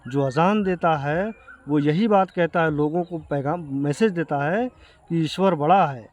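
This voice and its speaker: A man with an Indian accent giving an earnest explanation to viewers, speaking haltingly and repeating himself. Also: a little high. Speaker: video creator addressing viewers. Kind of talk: explanatory talk to camera.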